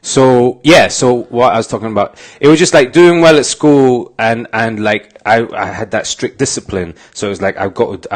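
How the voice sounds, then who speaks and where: A man in his thirties talking casually as a podcast guest, informal and full of conversational tags like "you know what I mean". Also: fairly low pitch. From Glasgow and Oxford, UK. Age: 30s. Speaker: interview guest, musician and doctor.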